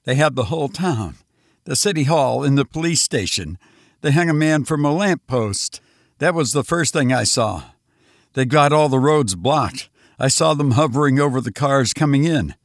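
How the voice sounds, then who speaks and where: A man's voice: medium pitch, animated, normal speed, dry recording, studio quality, lightly acted. A man voicing a frantic, exhausted man who has fled invaders, speaking breathlessly and urgently.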